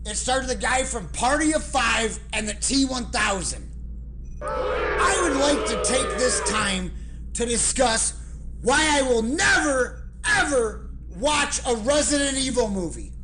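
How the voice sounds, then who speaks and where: A reviewer delivering a scripted segment in a deliberate, emphatic way with dramatic pauses. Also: sits high, expressive, loud music behind the voice, poor audio. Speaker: guest film reviewer.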